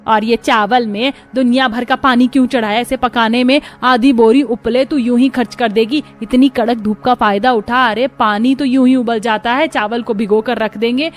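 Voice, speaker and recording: A woman's voice: a little high, steady tone, moderate pace, hardly any pauses, soft background music, close to an everyday voice. A woman voicing a stingy, bossy middle-aged mother-in-law who scolds and finds fault, in a cartoon story. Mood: scolding. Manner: nagging, bossy, fault-finding.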